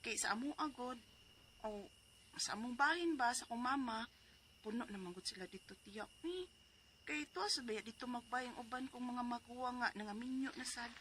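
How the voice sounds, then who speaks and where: Cebuano lady in her 40s narrating her own story, a bit high, earnest. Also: moderate pace, a bit bright, slight background noise. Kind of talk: dramatized letter narration.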